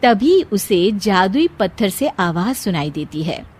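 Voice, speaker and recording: A woman's voice: mid-range voice, big pitch swings, slow, darkish tone, breathy edge. A woman narrator reading a scripted story.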